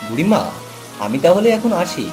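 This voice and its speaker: A man playing a poor, helpful, good-hearted village husband in a cartoon, earnest and expressive. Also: sits high, normal speed. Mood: respectful. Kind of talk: Bengali moral-story cartoon dub. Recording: loud music and rain behind.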